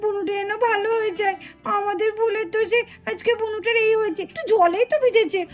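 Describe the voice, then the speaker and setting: A woman's voice: extremely high pitch, steady tone, fast talker. A woman voicing a worried, innocent little child doll in a puppet cartoon, with a childlike, fretful manner. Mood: guilty, worried. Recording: music behind the voice.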